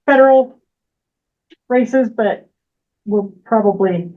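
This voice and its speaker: A woman's voice: fairly high pitch, slow pace. A woman giving a political action report at a community meeting, laughing easily. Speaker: political action team lead. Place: meeting room. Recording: tinny, phone-like audio.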